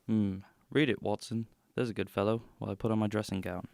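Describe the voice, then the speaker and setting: Man acting a creaky, dry-witted gentleman detective. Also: lowish voice, fairly even intonation, fast talker, no room sound. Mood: wry. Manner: dry, clipped, wry. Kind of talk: radio drama acting.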